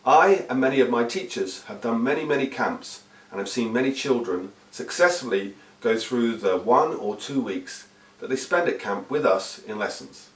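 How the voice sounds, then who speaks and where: A British man from the United Kingdom, an English teacher giving a lively lesson to children, repeating words and asking the viewer questions. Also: mid-range voice, moderate pace. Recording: echoey room, mic at a distance.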